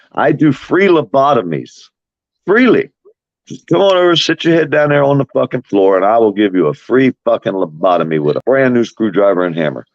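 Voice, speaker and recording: A man's voice: on the low side, dry recording. Blunt cursing man, dull audio. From Florida. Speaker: livestream host. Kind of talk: profane livestream chat. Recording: dry, dull lo-fi stream audio.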